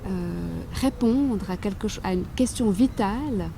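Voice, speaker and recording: A woman writer answering interview questions in a thoughtful, halting way, full of pauses and restarts. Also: medium pitch, noisy background. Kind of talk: reflective interview talk.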